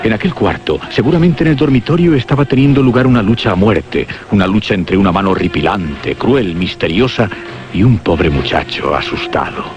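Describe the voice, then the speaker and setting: A man acting as the grave, deliberate narrator of a suspense and horror radio drama. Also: lowish voice, animated, over music, lightly acted. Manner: solemn, deliberate, theatrical. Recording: dull, noisy old radio drama recording.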